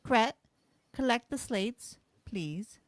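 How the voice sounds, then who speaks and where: A woman playing a kind, earnest and quietly defiant frontier schoolteacher in an amateur radio play, explaining things in a measured way. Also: a little high, lively intonation, no echo. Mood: encouraging.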